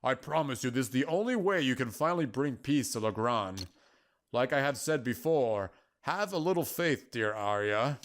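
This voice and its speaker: A man performs a solemn keeper of prophecy for a fantasy story. He is patient and cryptic, choosing formal, old-fashioned words and setting them out in a measured way. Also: mid-range voice, animated, natural voice. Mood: gentle, solemn. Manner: measured, formal, archaic phrasing.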